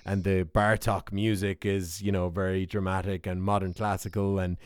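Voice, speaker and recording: A man's voice: low-pitched, flat delivery, moderate pace, clear, resonant tone, no echo, studio quality. A man hosting a podcast in a playful, jokey way, interviewing guests about a film. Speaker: podcast host. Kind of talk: casual chat.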